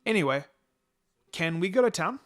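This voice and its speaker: A man's voice: sits high, expressive, normal speed, pitched above the performer's own voice. A man voicing a stubborn, pouting little boy in a story reading, cheeky and whiny as he bargains.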